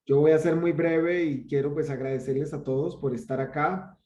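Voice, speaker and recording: A man's voice: on the high side, steady tone, normal speed, darkish tone, roomy sound, highs cut off. A man with a Colombian accent giving an earnest welcome speech for an online course, with frequent restarts. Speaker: bootcamp organizer.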